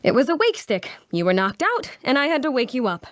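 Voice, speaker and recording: Woman acting a high-voiced, matter-of-fact healer. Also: big pitch swings, a little fast, no room sound. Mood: reassuring, brisk. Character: brisk, matter-of-fact female healer adventurer. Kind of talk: fantasy audio drama table read.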